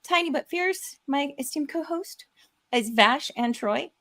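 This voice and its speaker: A woman with a slightly high voice hosts a live online table read. She talks casually, as a director briefing the group, and tends to chuckle as she goes. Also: animated, unhurried, a bit bright. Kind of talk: casual livestream hosting.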